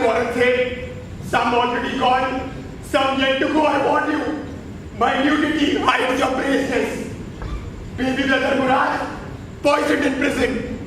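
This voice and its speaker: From a distance in a hugely echoing hall comes a man acting a defiant Sufi holy man on stage. His voice is very high for a man, declamatory and accusing, mocking those in power. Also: fairly bright tone. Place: indoor theatre stage, picked up from afar. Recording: distant, very echoey hall, faint noise.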